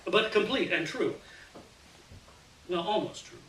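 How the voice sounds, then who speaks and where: A man reads a first-person literary story aloud as an expressive storyteller, performing the dialogue within the narration. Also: high voice, takes it slowly. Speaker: story reader. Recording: roomy, distant mic, faint hiss.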